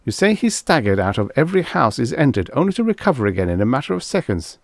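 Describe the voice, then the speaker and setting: A man's voice: medium pitch, sing-song, quick pace, creaky, dry recording, clean studio sound, voice pushed up. A man reading an audiobook, voicing a take-charge man who speaks assertively and reasons things out aloud. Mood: insistent, reasoning. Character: commanding, take-charge man giving brisk orders. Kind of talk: audiobook character dialogue.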